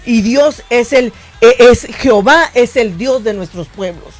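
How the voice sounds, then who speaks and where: A woman's voice: on the high side, animated, measured pace. A woman pastor on a church program, speaking fervently and declaratively with frequent restarts.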